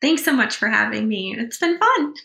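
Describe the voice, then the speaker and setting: A woman author and counselor on a podcast, friendly and relaxed, with frequent ums. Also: on the high side, moderate pace, gravelly, roomy sound. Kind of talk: casual interview talk.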